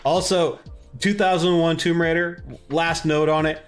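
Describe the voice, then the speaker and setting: A man's voice: on the high side, little pitch movement, a little slow, soft background music. A man co-hosting a podcast, chatting casually about films and games in an informal, slangy way. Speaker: podcast co-host.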